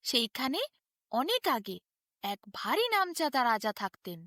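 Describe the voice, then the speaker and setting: Woman as a crafty storybook barber, very animated. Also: sits high, no room sound, studio quality, exaggerated voice. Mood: boastful. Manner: sly, boastful, drawn-out storytelling. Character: lazy but crafty male barber spinning tall tales.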